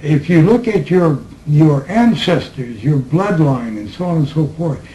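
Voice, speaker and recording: A man talking slowly and reflectively to a small group in a room, recorded on tape, with laughs between his remarks. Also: fairly high pitch, animated, warm tone, low-quality recording. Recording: old tape recording with faint hiss.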